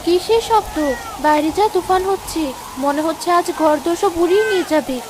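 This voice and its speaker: A woman voicing a fretful village wife and anxious mother in an expressive cartoon drama. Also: very high voice, fairly quick, background noise. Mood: fearful, scolding.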